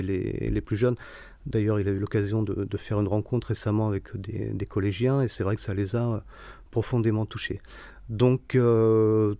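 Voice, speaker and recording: A man speaking as a book publisher in an informal interview, haltingly, often repeating and rephrasing his words. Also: on the low side, mellow, dry recording.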